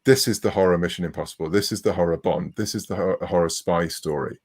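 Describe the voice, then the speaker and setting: A man's voice: sits low, fast talker, darkish tone, slightly rough. A man in his forties speaking conversationally as a writer being interviewed. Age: 40s. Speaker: interviewed writer of comics and novels.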